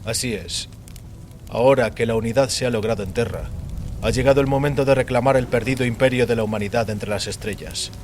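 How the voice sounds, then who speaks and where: A man voicing a calm, imperious warrior emperor in dramatized audio fiction, solemn, commanding and utterly self-assured. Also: medium pitch, brisk, natural voice. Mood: grand ambition. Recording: clearly noisy, rain behind voice. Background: rain.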